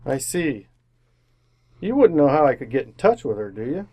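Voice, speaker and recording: A man's voice: mid-range voice, sing-song, normal speed, dark tone, slightly rough. An amateur actor playing a genial, pompous older businessman in an old-time radio sitcom, avuncular and given to hemming. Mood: sheepish, confiding. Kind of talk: re-created 1950s radio sitcom acting.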